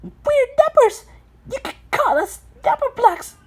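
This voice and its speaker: A woman plays a little cartoon number block girl, an eager leader. The voice is pitched very high and swings about, chirpy and excitable. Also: unhurried, full character voice. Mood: excited.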